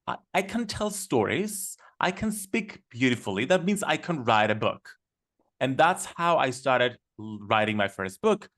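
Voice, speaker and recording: A man's voice: mid-range voice, big pitch swings, fairly bright tone. Enthusiastic man. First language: Greek. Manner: enthusiastic, storytelling, quick with fillers. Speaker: guest. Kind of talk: casual podcast conversation.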